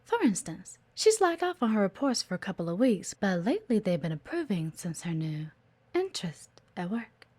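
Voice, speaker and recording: A woman's voice: mid-range voice, very animated, normal speed, darkish tone. A woman playing a playful, teasing, observant executive assistant in an intimate one-sided audio roleplay. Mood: coy, gossipy. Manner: coy, teasing, chatty, with murmured asides.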